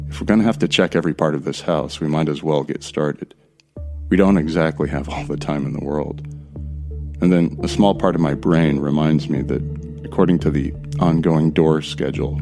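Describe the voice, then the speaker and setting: A man acting as a wry, uneasy hero of a haunted-house audio drama speaks in an extremely deep voice over clearly audible music. He narrates in first person, confiding and descriptive, with a determined streak and colloquial turns.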